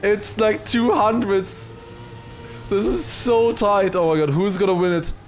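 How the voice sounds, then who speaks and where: A man livestreaming excited esports commentary mixed with casual chat, raising his voice to a shout at big moments. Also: high voice, a little slow, soft background music. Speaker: esports watchparty streamer and commentator.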